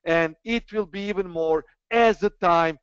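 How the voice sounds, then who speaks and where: A man's voice: high voice, a little slow, clear, resonant tone, no room sound. Man, webinar host, earnest and persuasive, hesitant, dull and dry online sound. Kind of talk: online trading presentation.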